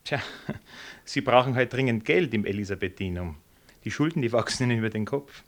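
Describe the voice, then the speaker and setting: An actor playing an affable, ironic ministry official in a radio drama, courteous and wry. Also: male voice, mid-range voice, a little fast, a bit bright, close to an everyday voice. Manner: courteous, wry, chuckling asides. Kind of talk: 1960s German radio drama acting.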